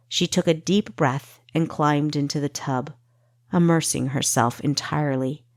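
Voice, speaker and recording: A woman narrating a fantasy audiobook with expressive, measured storytelling. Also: low-pitched, no room sound, clean studio sound.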